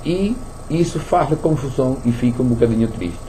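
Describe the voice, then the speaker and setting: A man's voice: medium pitch, expressive, normal speed, mellow, a little hiss or hum, low-quality recording. Venezuelan-raised Portuguese man hosting radio talk. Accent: Portuguese with Spanish slips from Venezuela. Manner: repeats words, thinks aloud with many restarts. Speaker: radio show host.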